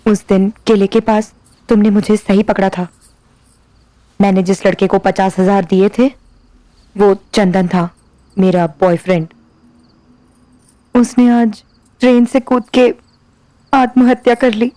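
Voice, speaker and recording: An actress playing a proud, distressed young woman in an audio drama, sharp and defiant in her replies. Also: medium pitch, fairly even intonation, unhurried, long pauses, no echo, lightly acted, rough recording. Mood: grieving.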